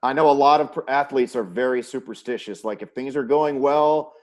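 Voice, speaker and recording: A man's voice: mid-range voice, brisk. A middle-aged man, a sports announcer, hosting a conversational interview and rephrasing his questions as he goes.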